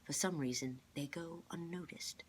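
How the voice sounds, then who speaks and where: A woman voicing a calm, clever older man in a storybook, measured and formal as he explains. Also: sits low, breathy edge. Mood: patient explaining.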